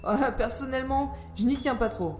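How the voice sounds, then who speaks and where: A woman's voice: high-pitched, normal speed, a little mellow, close to an everyday voice. A woman playing an ambitious, calculating scientist in an audio drama, articulate, self-assured and coolly persuasive. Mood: scheming, persuasive. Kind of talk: scripted audio drama acting. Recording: roomy sound, faint music behind.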